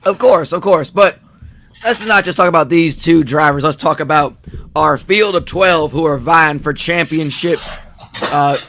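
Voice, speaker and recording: Man, race commentator, slightly high voice, rambling with fillers, comedic live commentary. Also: a little slow, a bit bright. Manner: rambling, many fillers and restarts.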